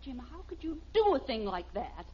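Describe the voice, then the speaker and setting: A woman's voice: high-pitched, big pitch swings, normal speed, slightly rough, full character voice. An American actress playing a middle-aged wife and mother, prone to scolding, in a 1950s radio sitcom. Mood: indignant. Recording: muffled, noisy old radio recording.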